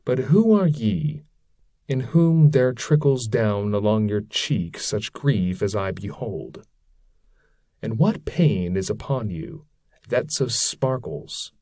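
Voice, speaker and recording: Guy playing an awed poet wanderer in an old epic, declaiming slow, softish mellow deep voice. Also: expressive, dry recording, clean studio sound. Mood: earnest, wondering. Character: mortal male poet traveller, awed and earnest. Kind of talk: dramatic reading of epic verse.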